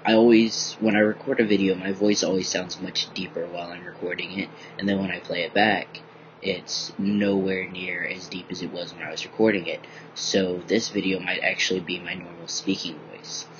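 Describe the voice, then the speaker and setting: A young man vlogging in a bright room at home, rambling casually with lots of ums, a little groggy from just waking up. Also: low voice, normal speed, noisy background. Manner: rambling, many ums, relaxed and candid. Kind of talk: casual personal video diary. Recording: dull lo-fi webcam audio.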